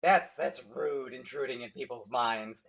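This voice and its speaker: A man playing a tabletop roleplaying game, talking in character with excitable stammering. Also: a little slow, creaky, husky. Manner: excitable, stammering, jumpy pitch. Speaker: player.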